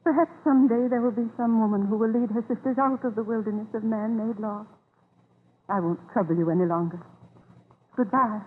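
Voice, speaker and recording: Actress as high, plaintive genteel widow, tinny. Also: female voice, steady tone, fairly quick, lightly acted. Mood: weary, dignified. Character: wronged, genteel widowed mother, plaintive and aggrieved. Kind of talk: 1930s radio drama acting. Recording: tinny, scratchy 1930s radio recording.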